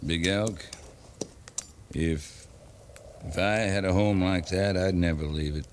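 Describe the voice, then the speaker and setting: A man playing a tough, blunt cavalry captain in 1950s western radio acting, with gruff, terse military delivery. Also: low-pitched, fairly even intonation, slow pace. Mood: grave, commanding. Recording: noisy 1950s radio drama recording.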